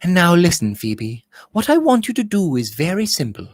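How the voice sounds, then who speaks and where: A male reader plays a vain young Victorian lady of the manor. She prattles in a raised, lilting pitch that swings widely. Her manner is gushing and haughty, and the sound is dry and close. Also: huge pitch swings, moderate pace, dry recording, heavily acted. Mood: coaxing, commanding. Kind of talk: Victorian novel read aloud.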